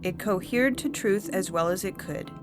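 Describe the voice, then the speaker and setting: A woman playing an imperious female judge, speaking with stern, measured certainty in a dramatic story reading. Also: medium pitch, over music, lightly acted. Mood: stern conviction. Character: implacable, imperious female judge of absolute conviction.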